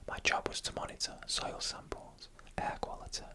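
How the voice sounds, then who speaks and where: A narrator reading eerie stories in a hushed ASMR whisper, with deliberate pauses between phrases.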